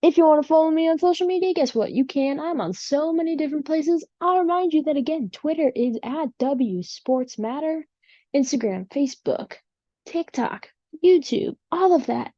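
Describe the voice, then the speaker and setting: A woman's voice: high voice, expressive, moderate pace, warm tone, no echo. Woman host with a Chicago accent, easygoing solo podcast chatter, recorded in a dry room on a slightly muffled mic. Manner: rambling and chatty, with many ums. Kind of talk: casual solo podcast chat. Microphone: home setup without usual equipment.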